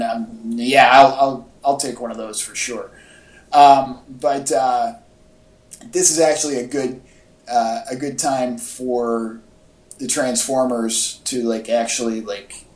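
Male podcast co-host, average pitch, informal and halting fan chatter, captured with noticeable room echo. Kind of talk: casual chat about comics and movies. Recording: echoey, roomy podcast audio.

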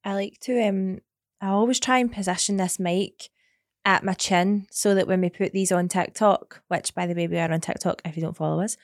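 A woman's voice: mid-range voice, fairly even intonation, normal speed, dry recording, studio quality. A young woman co-hosting a podcast, blunt and self-mocking in casual chat. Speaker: podcast co-host.

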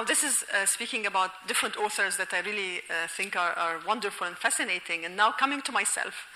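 A bright, sharp-toned woman from Egypt gives a university lecture, thinking aloud with frequent fillers and restarts. Her voice carries a long reverb, as if from a hall. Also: medium pitch. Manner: thoughtful, many fillers and restarts, laughing asides. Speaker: lecturer and novelist.

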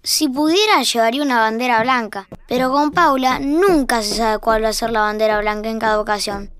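A woman's voice: fairly high pitch, fairly quick, fairly bright tone. A woman with a Rioplatense Argentine accent reading scripted narration for an audio fiction.

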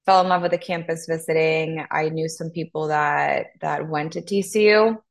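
Low, even-toned Honduran woman. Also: normal speed, creaky. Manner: earnest, steady, some fillers. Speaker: podcast guest. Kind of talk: conversational interview storytelling.

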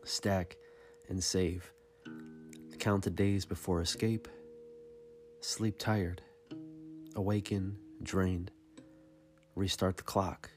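A man giving a dramatic, scripted reading of poetry in a somber, measured and deliberate manner. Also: low voice, little pitch movement, darkish tone, soft background music. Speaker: podcast host reading poetry.

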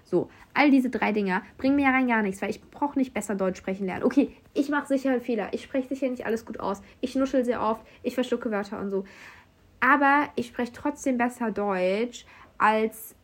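A woman's voice: on the high side, normal speed. A young woman from Germany, a university student abroad, casually telling anecdotes in a chatty way and acting out what other people said. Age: young adult. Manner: chatty, animated quoting of others, frequent fillers. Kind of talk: casual storytelling.

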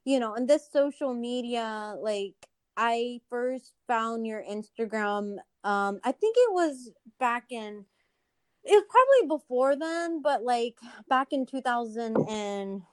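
A woman's voice: fairly high pitch, animated, slow pace, smooth, no echo. A woman hosting a casual interview, speaking in an informal, effusive way with lots of conversational filler. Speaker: interview host.

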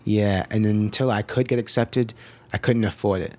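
A man's voice: lowish voice, steady tone, normal speed. A man, a painter talking reflectively in a casual interview, full of "like" and "um". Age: 30s. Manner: reflective, frequent "like" and "um" fillers. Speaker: painter guest. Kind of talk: casual conversation. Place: indoor studio with mic arms.

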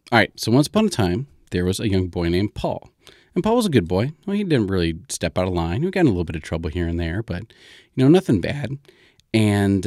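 A man hosting a story podcast, improvising in a casual, informal and halting way as he thinks aloud. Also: sits low, a little mellow, no echo, studio quality.

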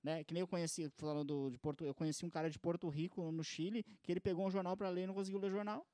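Podcast host, man with a high voice, conversational and musing, dry studio sound. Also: steady tone, rapid-fire, mellow, dry recording. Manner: conversational, musing, frequent "cara" and "né". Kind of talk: casual chat.

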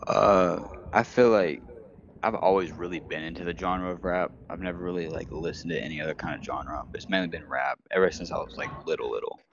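A young man talking in a hesitant, halting way as a guest in a casual chat. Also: deep voice, highs cut off, slight background noise. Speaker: guest rapper.

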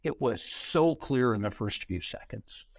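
A middle-aged man, a podcast guest, telling career stories in an animated way and acting out quoted dialogue. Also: mid-range voice, a little slow, gravelly, no room sound, clean studio sound. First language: bilingual, Brazilian parents. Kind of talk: conversational interview storytelling.

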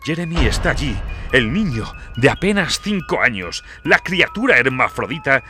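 A man's voice: medium pitch, sing-song, moderate pace, pushed and bright. A man narrating a horror audiobook in a dramatic, scripted storytelling style. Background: music.

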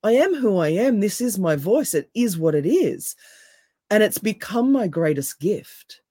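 Woman, deep raspy voice, mellow tone, emphatic coach, dry sound. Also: unhurried, no echo. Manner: emphatic, animated. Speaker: NLP coach and hypnotherapist, guest. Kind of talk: casual interview chat.

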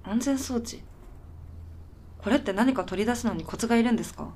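A woman's voice: a little high, a little fast, darkish tone, slight background noise, close to an everyday voice. Woman acting a polite but blunt tired woman. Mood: puzzled, timid. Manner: polite, dry, matter-of-fact. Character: polite, puzzled, tired young woman with quietly blunt streak. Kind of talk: Japanese radio drama dialogue.

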